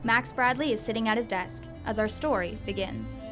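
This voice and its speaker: A woman's voice: fairly high pitch, normal speed, over music. A woman reading scripted narration as the storyteller of a radio drama.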